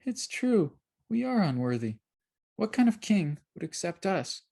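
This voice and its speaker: A narrator voicing a gentle young girl, an earnest, childlike pilgrim in a children's storybook. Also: medium pitch, very animated, a little slow, creaky, pitched above the performer's own voice, heavily acted. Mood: tearful.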